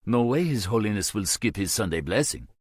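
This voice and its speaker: A man's voice: fairly low pitch, sing-song, a little fast, a little mellow, breathy edge, no echo, clean studio sound, voice pushed up. A man doing an anxious, apologetic priest. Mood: earnest. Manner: earnest, pleading.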